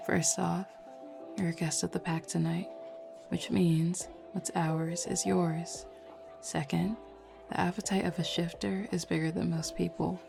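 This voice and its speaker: A woman voicing a flirty, self-assured female werewolf in an intimate roleplay audio, teasing softly and without hurry. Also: lowish voice, gravelly. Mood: reassuring.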